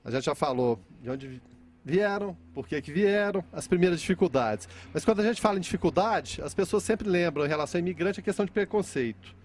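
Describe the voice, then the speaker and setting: A man with a Brazilian accent hosting a conversational interview, addressing viewers and often repeating words haltingly. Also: sits high, lively intonation, a little hiss or hum. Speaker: interviewer and host.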